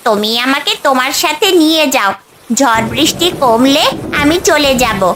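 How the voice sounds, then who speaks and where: Woman voicing a high-pitched, pleading injured bird. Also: moderate pace, noisy background, lightly acted. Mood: pained pleading. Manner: plaintive, pleading. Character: injured colourful magical bird, female, pleading.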